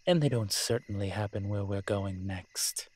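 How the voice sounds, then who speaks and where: A man playing a proud, flirtatious incubus demon professor in an intimate audio roleplay, husky and teasing, with hesitant, drawn-out pauses. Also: fairly low pitch, darkish tone, natural voice. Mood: hesitant, uneasy.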